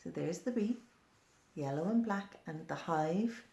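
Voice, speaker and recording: A woman's voice: on the low side, expressive, a little slow, gravelly, mellow. A woman reading and explaining a story for young children in simple, repetitive phrases with questions. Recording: roomy, echoing indoor sound.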